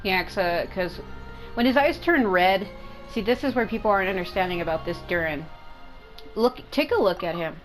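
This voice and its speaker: A woman's voice: mid-range voice, lively intonation, normal speed, poor audio. A woman giving casual gameplay commentary, explaining in a rambling way and often rephrasing herself. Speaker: gaming content creator. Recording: game music behind the voice. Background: video game music.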